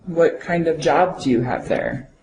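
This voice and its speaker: A woman acting as an interview officer, asking brisk, clear questions and giving short approvals. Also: deep voice, a little mellow. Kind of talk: scripted mock interview questioning. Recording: roomy echo with faint ambience.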